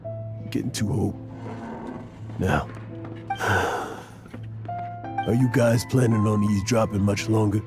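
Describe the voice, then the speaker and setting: An actor playing an aging, boastful adventurer haunted by guilt, in a dramatic video game style. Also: male voice, lowish voice, steady tone, slow pace, pauses often. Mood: anguished resolve, then weary, irritated. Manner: dramatic, anguished, theatrical delivery. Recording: loud music under the voice. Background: game background music.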